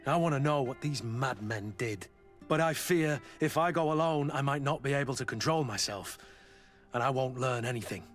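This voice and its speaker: An actor playing a brooding veteran mercenary guard in a video game, terse, blunt and earnest. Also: male voice, medium pitch, moderate pace, soft background music, close to an everyday voice. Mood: determined.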